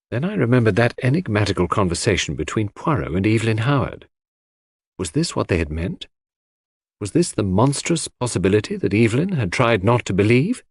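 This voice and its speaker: A man's voice: on the low side, breathy edge, dry recording, studio quality. A man reading a first-person mystery audiobook as a scripted narrator.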